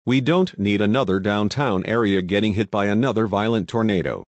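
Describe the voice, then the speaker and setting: A grave, alarmed male news anchor acted by a man covers breaking severe weather. His voice sits low and is recorded dry, with hardly any room sound. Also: animated, a little fast, dry recording. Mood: alarmed, urgent.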